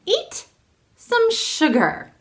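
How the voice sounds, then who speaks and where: A woman voicing a helpful, playful ghost in a children's storybook, giving drawn-out, emphatic commands. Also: extremely high pitch, huge pitch swings, pitched above the performer's own voice, full character voice. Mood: coaxing, instructing.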